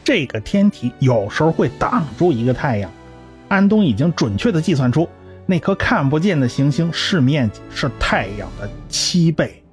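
A man's voice: on the high side, very animated, moderate pace. A man voicing an earnest, learned male scientist in an amateur radio drama, explaining at length in a lecturing way. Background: faint music.

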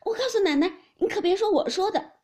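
Lady doing a young maid girl in an audiobook, nervous n eager, high lively voice. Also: fairly quick. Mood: frightened, confessing. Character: nervous, nimble young maidservant girl reporting to mistress. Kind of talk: dramatised audiobook of classic novel.

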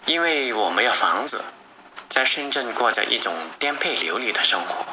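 Man, very bright tense tone, gentle reflective talk to children, faint background hiss. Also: mid-range voice, animated, normal speed. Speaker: children's author introducing his story. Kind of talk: casual spoken introduction to children.

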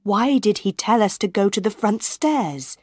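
A woman's voice: mid-range voice, lively intonation, unhurried, darkish tone, husky, dry recording, clean studio sound. Woman doing an earnest, protective young man. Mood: urgent. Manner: firm, insistent.

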